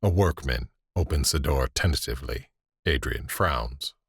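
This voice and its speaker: A man narrating scene directions in a scripted audio drama, grave and measured. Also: very deep voice, expressive.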